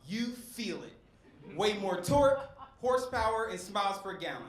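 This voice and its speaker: A man's voice: sits high, animated, normal speed. A middle-aged man from West Philadelphia doing stand-up, working the crowd in a preacher-like cadence. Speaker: stand-up comedian. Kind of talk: stand-up set. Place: comedy club stage. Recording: echoey club with faint crowd noise.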